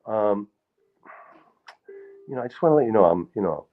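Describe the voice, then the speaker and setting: Middle-aged male storyteller, self-deprecating and meandering, low-ish voice, muffled live recording. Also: expressive, moderate pace. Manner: rambling, self-deprecating, many restarts. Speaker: storyteller performer. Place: small hall with live audience.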